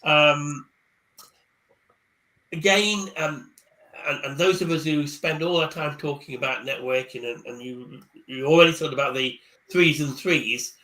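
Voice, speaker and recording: A man on a video call, giving casual career-book advice, often stumbling over repeated words before rushing ahead. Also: fairly high pitch, sharp tone, poor audio. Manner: hesitant, repeats words, then speeds up. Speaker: career coach guest speaker. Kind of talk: casual chat on a live online panel.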